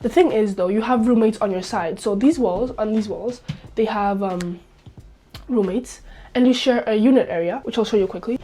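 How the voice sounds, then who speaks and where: A woman's voice: mid-range voice, moderate pace, dark tone. A young woman from Spain, still getting over a cold, chatting upbeat to camera in a small dorm room and saying "like" a lot. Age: young adult. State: recovering from a cold. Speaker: vlogger. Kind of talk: casual vlog talk to camera.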